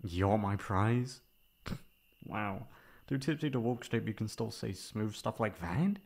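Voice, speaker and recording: A man's voice: lowish voice, slow pace, a little mellow. A man playing a sweet, teasing boyfriend, tender and playfully exasperated, in an intimate ASMR roleplay. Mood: fond teasing. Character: sweet teasing boyfriend caring for tipsy girlfriend.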